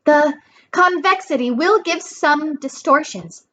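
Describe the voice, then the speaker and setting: Woman voicing a high, urgent, bossy girl. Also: slow pace, fairly bright tone. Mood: urgent, insistent. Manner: urgent, insistent, matter-of-fact. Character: bossy, determined brainy girl in a fantasy story.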